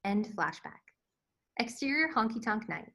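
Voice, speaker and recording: Bright-voiced woman reading screenplay directions. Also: a little high, sing-song, measured pace. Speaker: stage directions reader. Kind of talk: scripted narration.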